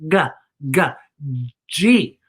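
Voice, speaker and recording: A woman hosting a children's story time in a playful, theatrical, exclamatory way with campy sass and bits of singing. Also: drawn-out words. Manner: campy drag-style sass. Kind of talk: playful kids' show presenting with singing.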